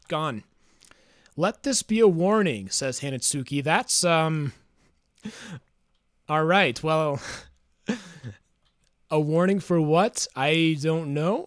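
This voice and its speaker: A man chatting casually on a livestream, reading chat aloud in a smooth, soothing voice, musing and hesitant with fillers. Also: a little high, no echo, studio quality.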